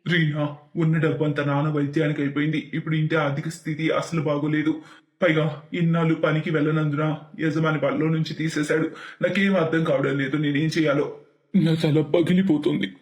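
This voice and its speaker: A man voicing a poor, dejected village husband in a moral cartoon story, with a weary, measured manner. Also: on the high side, flat delivery, echoey room, close to an everyday voice. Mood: worried.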